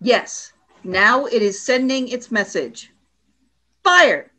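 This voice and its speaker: A woman's voice: fairly high pitch, animated, takes it slowly, sharp tone, exaggerated voice. A woman playing a cold, imperious alien warrior commander in a radio play, speaking in a stern, formal, commanding way. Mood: curt, haughty, then barking orders.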